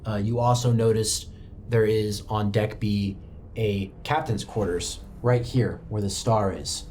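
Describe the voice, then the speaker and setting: A man's voice: on the low side, steady tone, a little slow, a little mellow, slight background noise. A man narrating a tabletop roleplaying game as game master, improvising as he goes.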